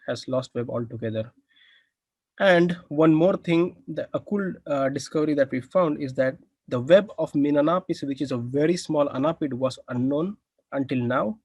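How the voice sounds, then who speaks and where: A man's voice: medium pitch, darkish tone, no room sound. A young man from India with an Indian accent, giving a research presentation with many "uh" fillers and open enthusiasm for his findings. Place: indoors, air-conditioned room.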